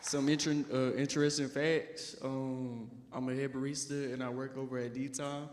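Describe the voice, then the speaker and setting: Teenage man, panelist, monotone, slightly hesitant, long hall reverb, faint background noise. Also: medium pitch, normal speed, a faint crowd. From North Carolina. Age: teens. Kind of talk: self-introduction.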